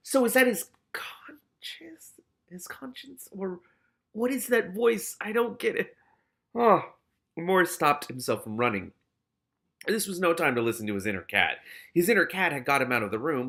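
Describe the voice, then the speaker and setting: Gravelly man reading a novel aloud. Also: a little high, fairly bright tone. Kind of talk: expressive read-aloud with casual asides.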